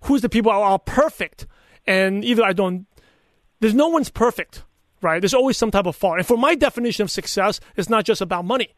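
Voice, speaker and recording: A man's voice: sits high, a little fast, no room sound, clean studio sound. A middle-aged man with a mixed Brooklyn and Chinese accent and a lisp, speaking earnestly and emphatically in a conversational interview. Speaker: podcaster and coach, interview guest. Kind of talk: conversational interview answers with personal anecdotes.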